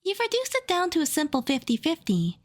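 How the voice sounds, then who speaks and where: Woman playing a high-voiced, defiant young debater. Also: huge pitch swings, fairly quick. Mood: defiant, reasoning. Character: defiant, sharp-minded young woman arguing in a trial. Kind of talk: audio drama acting.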